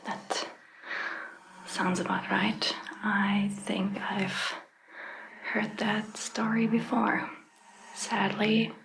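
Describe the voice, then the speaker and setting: A woman speaking in a breathy, gentle ASMR roleplay style as a caring, reassuring vampire doctor, with hesitant pauses. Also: medium pitch, low-quality recording. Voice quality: breathy, whispery. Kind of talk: soft-spoken ASMR roleplay.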